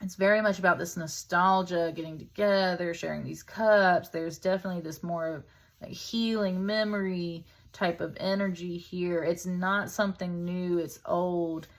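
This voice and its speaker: A woman's voice: on the low side, steady tone, normal speed. A woman giving a casual, conversational tarot reading in an informal, chatty way, as if thinking aloud. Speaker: tarot reader, host.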